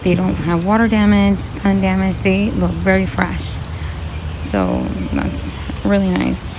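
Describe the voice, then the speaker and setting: A woman with a slightly raspy voice, chatting casually to her viewers as she browses plants in a garden center. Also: medium pitch, sing-song, measured pace, noisy background. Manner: chatty, thinking aloud while browsing. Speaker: vlogger. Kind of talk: casual shopping vlog talking to viewers. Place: garden center of a large store.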